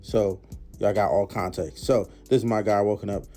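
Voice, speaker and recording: A man's voice: lowish voice, steady tone, normal speed, warm tone, faint music behind. A man giving a laid-back, slangy voice-over recap of his night out. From Philadelphia, United States. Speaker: concertgoer narrating his vlog.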